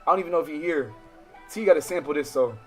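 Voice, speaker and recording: American male let's-play streamer, lower-pitched than some but ordinary range, slangy, profane, self-interrupting, game music underneath. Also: quick pace. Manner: slangy, profane, digressive, interrupting himself while reading. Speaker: livestream host playing a visual novel. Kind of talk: casual let's-play commentary with read-aloud game text. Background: game soundtrack music.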